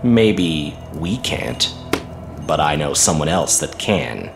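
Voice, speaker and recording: Low-voiced, grave hunted agent. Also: male voice, big pitch swings, slow, vocal fry, heavily acted. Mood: grim, insistent. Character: grave, urgent male agent on the run. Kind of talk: audio drama acting. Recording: dramatic music under the voice.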